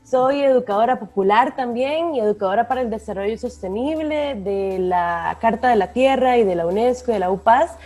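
A woman with a Costa Rican Spanish accent co-hosting a podcast. She speaks warmly and with enthusiasm. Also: fairly high pitch, moderate pace, hardly any pauses, faint music behind. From Costa Rica. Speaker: podcast co-host, environmental educator. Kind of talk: casual podcast chat.

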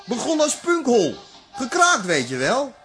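An actor playing a nostalgic, crude, middle-aged new-wave punk in a Dutch radio comedy, blunt and drawling. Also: male voice, very high voice, huge pitch swings, a little slow, a bit bright, soft background music, exaggerated voice.

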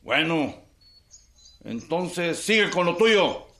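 A man's voice: on the high side, big pitch swings, slow, pushed and bright, full character voice. An actor playing a brusque, condescending Mexican man of authority in a radio drama. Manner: brusque, informal questioning. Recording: echoey outdoor scene, faint noise.

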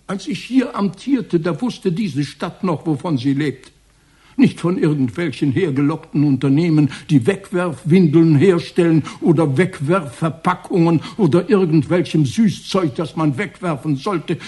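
An actor playing an embittered, grieving old former mayor in a radio crime drama, speaking in a grandiose, lamenting, declamatory way. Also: male voice, on the high side, darkish tone, husky. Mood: proud, indignant. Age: older.

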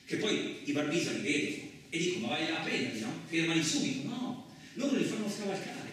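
An actor playing a rough, rambling male truck driver in a colloquial radio theatre monologue, with an earthy, agitated manner. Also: fairly high pitch, sing-song, fairly quick, a bit bright. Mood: bitter, confiding. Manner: earthy, agitated, rhetorical tags, swearing. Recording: echoey distant room, faint noise.

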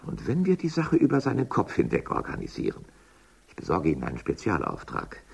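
An actor playing a capricious, cynical, world-weary official in a science fiction radio drama, moody, rambling and muttering, with a gravelly, husky voice. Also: male voice, sits low, huge pitch swings, fairly quick, full character voice. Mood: cynical, scheming. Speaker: high-ranking boss.

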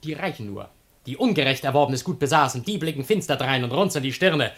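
A man's voice: medium pitch, lively intonation, fast talker, fairly bright tone. A male actor playing a bold, jovial, mocking Greek man in a German radio comedy, declaiming theatrically with sardonic relish. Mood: exuberant.

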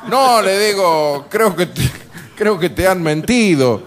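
A man's voice: high voice, lively intonation, very slow, fairly bright tone. An Argentine man hosting a radio show with humorous, casual banter, telling anecdotes in a wry, colloquial, sweary way. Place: live radio broadcast from a bar.

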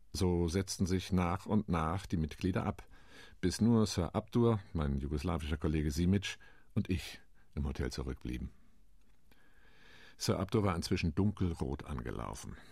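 An actor playing a UN commission delegate in a radio play, giving a measured, wry and indignant account. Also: male voice, low voice, a little mellow, no echo, studio quality. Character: male UN delegate, dignified, quietly indignant.

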